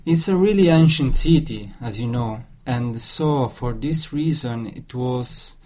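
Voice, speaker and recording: A man with an Italian accent in an informal discussion, speaking haltingly and repeating words as he searches for phrasing. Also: mid-range voice, mellow. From Italy. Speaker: discussion participant. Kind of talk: informal discussion in a second language. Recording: clear background noise.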